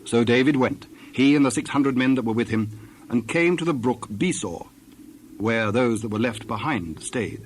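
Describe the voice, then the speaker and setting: A man narrating formal scripted scripture in a measured, solemn manner. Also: medium pitch, expressive, slight background noise.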